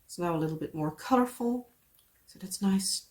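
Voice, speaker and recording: A woman's voice: on the low side, animated, normal speed, a little mellow. Rambling Dutch-accented woman. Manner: rambling, full of "like" and "yeah". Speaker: craft vlogger. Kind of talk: casual chat.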